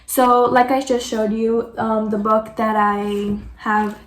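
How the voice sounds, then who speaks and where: A woman's voice: on the high side, little pitch movement, slow, roomy sound, slight background noise. A woman vlogger answering viewer questions in casual chat, chatty and peppered with "like" and self-corrections.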